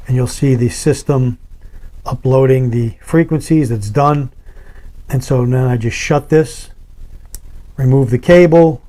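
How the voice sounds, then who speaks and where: A man's voice: mid-range voice, dark tone. A man talking casually as a video host, explaining gear in plain words, step by step. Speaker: product reviewer and video host. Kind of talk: casual how-to product demonstration.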